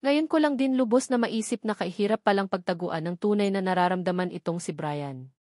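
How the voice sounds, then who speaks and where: A woman's voice: mid-range voice, a little fast, darkish tone, no room sound. Woman narrating a novel, dry sound. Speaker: audiobook narrator. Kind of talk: scripted first-person novel narration.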